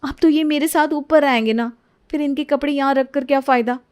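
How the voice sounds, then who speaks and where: A woman's voice: sits high, fairly even intonation, brisk, darkish tone, lightly acted. A woman voicing a sly young second wife who sweet-talks the family in a coaxing, teasing way.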